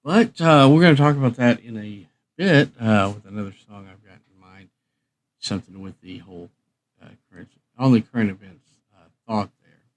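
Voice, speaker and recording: A middle-aged man hosting a livestream, chatting casually and thinking aloud in an unhurried, rambling way. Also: medium pitch. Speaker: livestream host.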